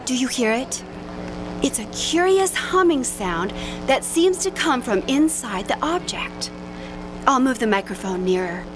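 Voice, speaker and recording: A woman's voice: sits high, a little slow, low-quality recording. A woman playing an earnest, dramatic female radio news reporter in an audio drama, reporting live from the scene with urgency and awe. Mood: earnest, tense. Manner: dramatic, urgent, stammering in excitement. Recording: music and crowd noise behind. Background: music, busy crowd.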